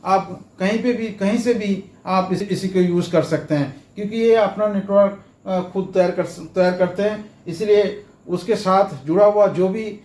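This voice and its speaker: Male how-to presenter, notably high voice, informal step-by-step delivery with repetition, recorded with roomy echo. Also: measured pace, darkish tone. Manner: explains step by step, often repeating phrases. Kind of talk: informal explainer lesson. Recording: echoey room sound.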